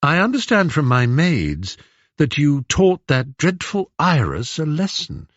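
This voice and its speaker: A man reads the part of a weary but gracious storybook queen. The pitch is lifted above a man's usual talk, the pace measured, the manner regal and formal. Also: huge pitch swings, no echo, dull audio. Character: gracious, weary, dignified queen, a grown woman.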